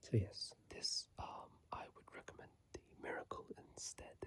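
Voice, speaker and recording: Soft rambling ASMR whisperer. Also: normal speed. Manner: soft unvoiced whisper, rambling, with self-corrections. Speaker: ASMR gaming channel host. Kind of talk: casual whispered ASMR game commentary. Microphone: phone microphone.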